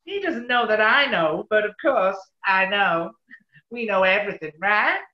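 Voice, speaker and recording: An actress playing a brassy New York character with an American accent, brash and animated, in 1940s radio comedy style. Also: female voice, medium pitch, measured pace, close to an everyday voice. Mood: brassy, wistful. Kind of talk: 1940s radio play acting. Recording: roomy, muffled online recording.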